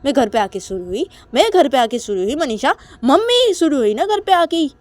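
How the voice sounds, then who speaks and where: Woman playing an indignant young daughter, high-pitched. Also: big pitch swings, normal speed, darkish tone. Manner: indignant, repeats phrases insistently. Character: angry young daughter, defiant and argumentative.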